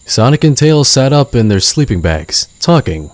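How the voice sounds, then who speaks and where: A man narrating a scripted story in a measured, suspenseful, dramatic style. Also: mid-range voice, sing-song, no room sound. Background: loud music.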